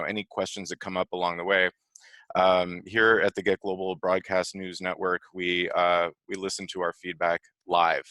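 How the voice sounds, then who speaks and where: A man's voice: deep voice, bright, forceful tone, no echo. A man hosting and moderating an online panel discussion, speaking over a video call. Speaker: host.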